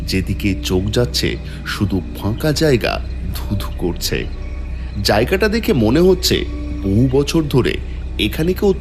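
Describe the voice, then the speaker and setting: Animated low-voiced man storyteller. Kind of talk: dramatic scripted horror story narration.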